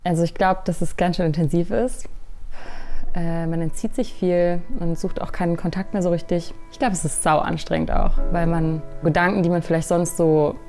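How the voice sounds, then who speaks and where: A woman with a fairly low voice answers a friend's questions in a casual, searching way, pausing often and filling gaps as she thinks. Soft guitar music sits quietly behind her. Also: fairly even intonation. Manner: hesitant, thinking aloud with fillers. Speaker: interviewed friend.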